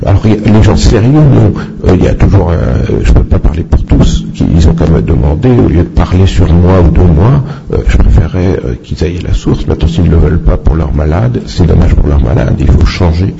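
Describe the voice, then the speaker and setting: A man speaking off the cuff in a halting, opinionated way as a doctor answering questions at a public talk. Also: deep voice, animated, creaky. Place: public meeting with microphone. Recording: muffled, noisy public-event recording.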